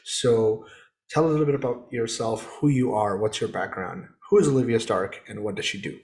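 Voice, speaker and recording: A young adult man hosting a podcast interview in a relaxed, casual way, with frequent fillers. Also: medium pitch, brisk. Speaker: podcast host.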